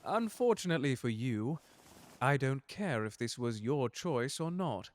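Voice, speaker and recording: A man acts out a gentleman butler for an audio drama. He is formal and precise in his wording, unflappable and courteous, with menace sitting quietly underneath. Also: medium pitch, very animated, unhurried. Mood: smooth menace. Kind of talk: character audio roleplay drama.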